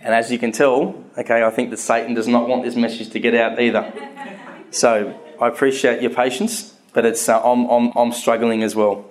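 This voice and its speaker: A man's voice: mid-range voice, level tone, moderate pace, noisy background. A man in his thirties with a stutter, giving a candid, earnest personal talk on faith to a live audience, often restarting his words.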